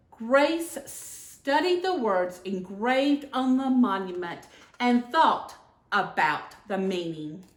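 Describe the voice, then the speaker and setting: Female storybook reader, lively intonation, deliberate and slow, roomy recording. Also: a little high. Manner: expressive, deliberate storytelling with emphasized words. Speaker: senator and former teacher reading aloud. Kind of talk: children's picture book read-aloud. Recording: echoey room.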